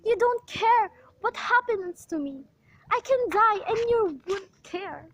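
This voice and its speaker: A very high, agitated voice for a self-pitying invalid wife in a radio drama, acted by an amateur woman. She pleads and demands with frantic melodrama. There is faint noise and clicking on the home recording. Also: expressive, slow, fairly bright tone, full character voice. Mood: hysterical, accusing. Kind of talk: amateur radio play acting.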